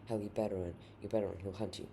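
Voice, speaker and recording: A God-fearing frontier preacher from a dark story, played by a male reader, frightened yet commanding. He speaks with urgency in a low, gravelly voice over noticeable recording noise. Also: fairly even intonation, very fast. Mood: urgent warning. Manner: urgent, commanding, archaic phrasing. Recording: noisy amateur home recording.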